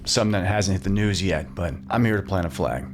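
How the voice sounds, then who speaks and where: A deep-voiced man acting as a haunted former child star in an audio thriller interview. He sounds defensive and insistent, halting as he pleads his case. Music runs clearly underneath. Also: expressive. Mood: resolute. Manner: halting, agitated, earnest. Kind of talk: dramatic acted interview, naturalistic.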